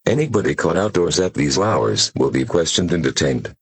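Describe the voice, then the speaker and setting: A man reads a formal scripted government emergency bulletin as an announcer, in a measured, impersonal manner. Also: sits low, sing-song, husky, no echo.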